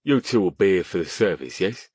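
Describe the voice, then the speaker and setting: A man acts out a small, wiry Cockney fellow from a fantasy audiobook. He is chummy, teasing and colloquial, in a fairly low voice with a very dry sound. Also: lively intonation, brisk, a little mellow, breathy edge, dry recording. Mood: friendly, courteous. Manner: matey, colloquial.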